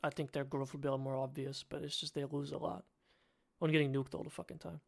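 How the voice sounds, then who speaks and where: A man with a Serbian accent and a slightly higher pitch, with a gravelly, fry-laced voice. He talks bluntly in a casual podcast chat, joking and swearing offhand. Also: steady tone, quick pace. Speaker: podcast co-host.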